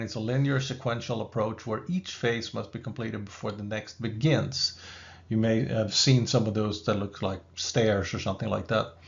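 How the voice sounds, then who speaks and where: A man with a Swedish accent, a business school professor giving a recorded lecture over slides in a measured, explanatory way. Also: medium pitch, gravelly, muffled recording. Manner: measured, explanatory, with occasional asides.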